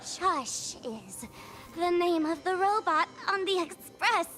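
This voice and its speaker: A woman voicing a playful, theatrical young girl in a video game, with expressive delivery. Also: extremely high pitch, slow, exaggerated voice. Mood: mocking, indignant.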